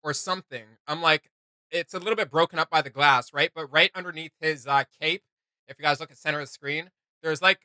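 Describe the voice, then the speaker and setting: A man's voice: a little high, fairly quick, sharp tone, clean tone, dry recording. A man hosting a casual reaction chat in a jokey, conversational way. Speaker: reaction show host.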